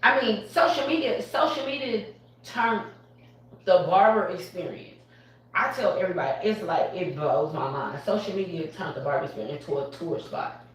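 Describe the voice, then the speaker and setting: A woman talks up her barbershop with lively, emphatic energy and frequent laughs. She sits a way back from the mic, and the room around her rings with heavy echo. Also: lowish voice, moderate pace, slight background noise. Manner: animated, emphatic, laughs often. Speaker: barbershop owner. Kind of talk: live panel talk. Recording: echoey room from far off.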